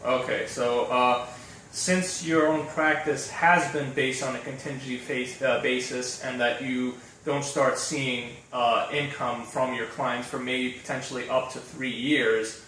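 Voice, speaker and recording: A man's voice: mid-range voice, fairly even intonation, measured pace, a bit bright. A man interviewing an attorney, asking friendly questions with frequent um and uh. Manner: friendly, hesitant, many fillers. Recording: roomy, distant mic with faint noise.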